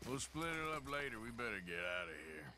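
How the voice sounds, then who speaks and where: An actor playing a gruff, dry-witted cowboy outlaw in a video game drama, terse, bossy and deadpan. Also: male voice, mid-range voice, a little fast, noisy background, close to an everyday voice.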